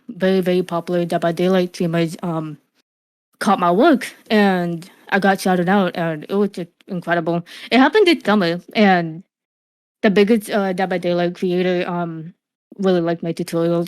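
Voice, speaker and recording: A young woman with a slightly low voice speaks in a loose, unhurried way in an interview. A speech impediment from her hearing loss gives her words a distinctive shape. She often restarts her sentences. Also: a little mellow. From Cuban, living in the USA. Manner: frequent fillers and restarts, laughs easily. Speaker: streamer, interview guest. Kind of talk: casual interview answers.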